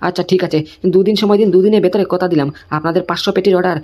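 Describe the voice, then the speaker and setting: A man's voice: high voice, fairly even intonation, racing, a little mellow, natural voice. A man playing a placating goods supplier in a comic cartoon, full of reassuring, repetitive salesman's patter. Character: smooth-talking, placating male goods supplier.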